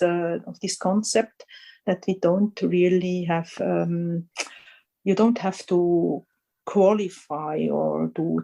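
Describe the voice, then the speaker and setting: A woman speaks slowly and thoughtfully, pausing often and laughing lightly between her thoughts. Her voice is mellow and soft-edged, never sharp. The pace is leisurely throughout. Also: lowish voice, gravelly. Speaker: magazine editor guest. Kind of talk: casual interview chat.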